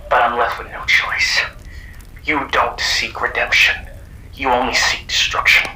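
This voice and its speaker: A man playing a weary, resolute hero in an amateur analog horror cartoon, speaking solemnly and deliberately. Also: medium pitch, flat delivery, bright, forceful tone, roomy sound, a little hiss or hum, poor audio. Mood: grim, regretful. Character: weary, resolute heroic fighter from another Earth, male.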